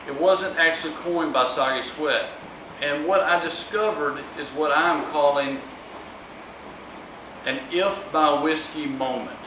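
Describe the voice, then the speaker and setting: A man giving a public speech as an author at a live book event. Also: fairly high pitch. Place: room at a live event, distant microphone. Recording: echoey, noisy room recorded from far off.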